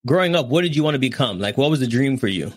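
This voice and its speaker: A man hosting a podcast, asking probing questions and giving quick acknowledgements while the guest talks. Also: mid-range voice, lively intonation, dry recording, studio quality. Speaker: podcast host. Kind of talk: interviewing a guest.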